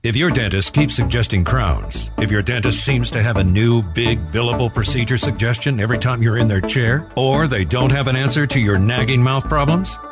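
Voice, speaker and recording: A man's voice: mid-range voice, normal speed, hardly any pauses. A man announcing a radio show and reading a scripted promo in a persuasive ad delivery. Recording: promo with music bed.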